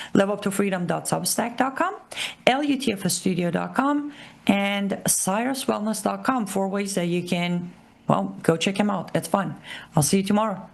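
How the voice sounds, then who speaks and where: A woman with an Iranian accent hosting a political commentary show, speaking emphatically and repeating key phrases slowly. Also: medium pitch, vocal fry. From Iran. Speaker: show host.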